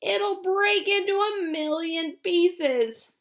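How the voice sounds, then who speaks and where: A woman voicing an excitable, frightened old grandfather who shouts his lines slowly. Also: very high-pitched, pitched above the performer's own voice, full character voice. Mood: alarmed. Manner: shouting, slowed, panicky. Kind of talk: storybook read-aloud.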